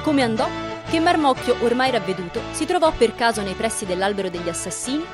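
A woman narrating a dark comic fable for Italian radio theatre, in a storybook style. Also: fairly high pitch, expressive, fast talker, loud music behind the voice, natural voice. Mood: storytelling.

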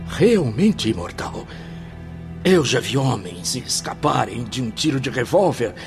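An actor playing a tormented, repentant former bohemian in a religious radio drama, speaking in a solemn, theatrical, confessional way. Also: male voice, high-pitched, full character voice.

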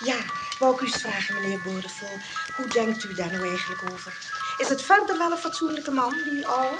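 A woman's voice: on the high side, animated, music in the background, close to an everyday voice. An actress as gushing, swooping Dutch saleswoman. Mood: probing. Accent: Netherlands Dutch. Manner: effusive, drawn-out, cooing. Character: gushing, grasping, flirtatious female souvenir seller. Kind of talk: comedic Dutch radio play acting.

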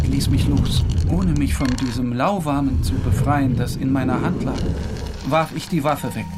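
A man playing a tormented, half-mad painter in a dramatic literary narration, speaking with slow, halting intensity. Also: mid-range voice, close to an everyday voice. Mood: horrified, agitated. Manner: slow, halting, intense, dropping at times to whispers.

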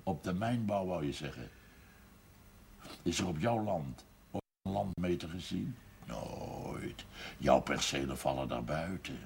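A man's voice: low voice, animated, unhurried, creaky, long pauses, exaggerated voice. A middle-aged man playing a blunt, shrewd village businessman in a television period drama. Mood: firm, resolute. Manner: emphatic, plain-spoken, forceful. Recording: faint background noise on TV audio.

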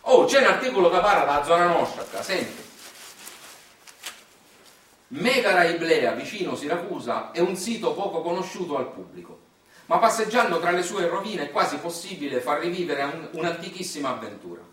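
A man's voice: fairly high pitch, expressive, brisk, fairly bright tone. A man with a Sicilian accent delivers a theatrical monologue as a stage storyteller, in a folksy way with dialect words. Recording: very echoey room, distant mic.

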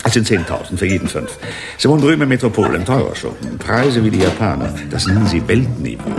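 Deep-voiced, gravelly smug wheeler-dealer. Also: male voice, big pitch swings, fast, music in the background. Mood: jovial, conspiratorial. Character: smug, jovial male West Berlin deal-maker. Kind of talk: 1980s German radio drama acting.

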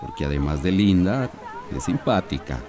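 A pushy, insinuating market fish buyer in a radio soap. His Central American voice is fairly low and swings with lively, dismissive haggling. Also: normal speed, a crowd in the background. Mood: sly, insinuating. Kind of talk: radio soap opera acting.

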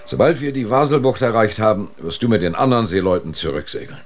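A man's voice: lowish voice, quick pace, lightly acted. An actor playing a proud, commanding male expedition leader in a radio drama, emphatic and authoritative. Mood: dismissive, stern. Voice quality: gravelly, husky.

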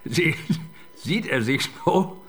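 An actor playing a calm, gently teasing male partner in a radio crime drama, mild and reasonable. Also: on the high side, takes it slowly, noisy background.

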